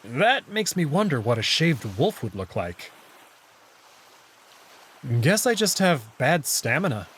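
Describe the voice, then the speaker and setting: A man's voice: on the high side, sing-song, slow pace, long pauses, fairly bright tone, a little hiss or hum. A man reading scripted first-person story narration from a visual novel, with acted dialogue. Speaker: narrator and voice reader.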